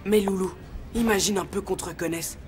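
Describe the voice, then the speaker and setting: A woman voicing a protective, worried young boy, a loyal friend, in a French animated series. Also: mid-range voice, a little fast, noisy background, natural voice. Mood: soothing, worried.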